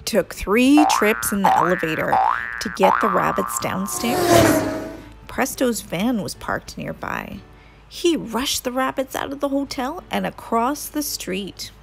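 A woman narrates a children's story expressively, with a highish, very animated voice. She takes her time over each sentence, and music plays behind her. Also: unhurried, vocal fry.

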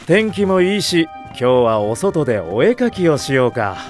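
An actor playing a calm, kindly veteran male nursery teacher with a soothing, mature manner in an anime drama. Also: a little high, moderate pace, soft background music. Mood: cheerful.

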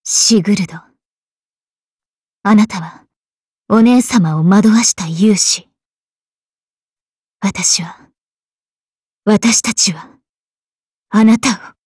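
Anime game valkyrie, soft dark husky tone, formal, composed, slow. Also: female voice, mid-range voice, no room sound, clean studio sound, exaggerated voice. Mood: bitter. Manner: composed, polite, military formality. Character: calm, dutiful, formal valkyrie maiden. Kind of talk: anime mobile game voice acting.

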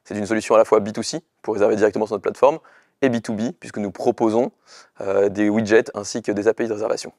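A man's voice: fairly low pitch, steady tone, quick pace, mellow. A young man, a startup chief executive giving an earnest, hesitant interview to camera in short bursts. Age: young adult. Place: indoor studio with dark backdrop. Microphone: lapel mic.

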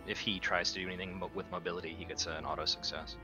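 A man's voice: low voice, flat delivery, fast, music in the background. A man chatting informally as a player in a tabletop game, thinking aloud about his moves. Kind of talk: casual game-table chat.

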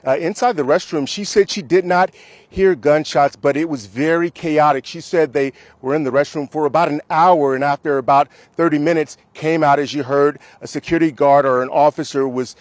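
Guy reporting live from a parking lot, kinda high voice, dry close mic. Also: a little fast, dry recording. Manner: earnest, emphatic live delivery. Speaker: field reporter. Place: outdoors in a mall parking lot. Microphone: handheld mic.

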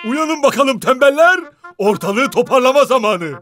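A man's voice: very high-pitched, expressive, normal speed, over music. A man voicing a boastful, eager toy dump truck with a showy, proud delivery in a children's cartoon. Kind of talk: children's animated series voice acting.

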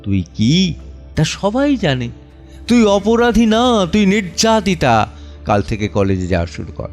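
An anguished middle-aged father voiced by a male actor in an audio drama, protective and pleading. He speaks slowly and haltingly, his pitch swinging widely with emotion. Music plays faintly underneath. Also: big pitch swings, heavily acted. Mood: firm, pleading. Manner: halting, emotive, with repeated pleas and pauses. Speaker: voice actor and reciter. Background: background music.

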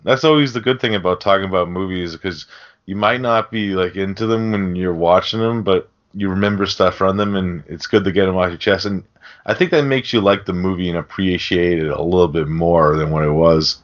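A man's voice: sits low, fairly quick. A man co-hosting a casual film podcast, rambling along in slangy talk with some casual swearing. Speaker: podcast host. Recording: dull, lo-fi podcast audio.

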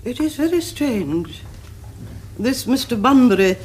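An actress playing a formidable, haughty older Victorian aunt in a period comedy, with an upper-class English accent and precise, pompous diction. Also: female voice, fairly high pitch, lively intonation, unhurried, slightly rough. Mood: imperious, disapproving. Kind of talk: stage-like period comedy acting.

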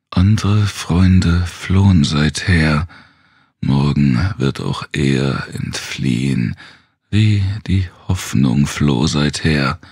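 Male narrator for Gothic verse: brooding, theatrical, heavy gravel in the throat, very close dry sound. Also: extremely low pitch, dry recording. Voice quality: gravelly, husky, rasping. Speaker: narrator reading a horror poem aloud. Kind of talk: dramatic literary recitation, dark Gothic poetry.

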